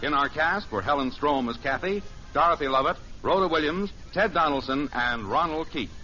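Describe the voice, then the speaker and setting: A man's voice: on the high side, animated, moderate pace. A man doing formal, emphatic 1950s scripted announcing to close a radio show. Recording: muffled old radio recording, faint music.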